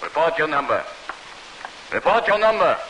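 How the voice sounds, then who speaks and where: A very sharp, harsh camp guard. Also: male voice, fairly high pitch, very animated, moderate pace, rough recording. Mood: barking, contemptuous. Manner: curt, commanding. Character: harsh, barking Nazi prison camp guard. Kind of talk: old-time radio drama acting. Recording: hissy vintage radio broadcast.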